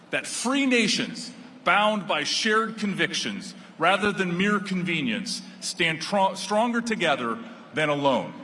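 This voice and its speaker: A man delivering a formal, rhetorical speech at a ceremony with a solemn, measured cadence. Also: a little high, pushed and bright, slight background noise. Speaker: speaker at a formal celebration.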